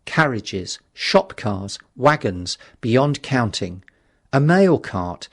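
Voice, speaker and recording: A man reading a literary story as an audiobook narrator, with a dramatic, measured delivery. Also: medium pitch.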